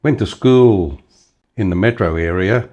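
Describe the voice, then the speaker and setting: An Australian man in his seventies with a fairly low voice tells his life stories unhurriedly. He wanders through his sentences, full of "you know" and "uh", restarting as he goes. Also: unhurried, darkish tone. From Western Australia. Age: 70s. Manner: rambling, many fillers and restarts. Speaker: interview guest. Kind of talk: casual storytelling interview.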